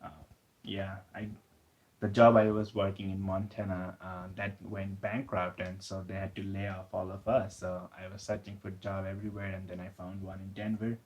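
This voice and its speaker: Low-voiced young man from Bangladesh. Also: normal speed. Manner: relaxed, hesitant, many fillers. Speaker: interview guest. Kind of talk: casual interview conversation.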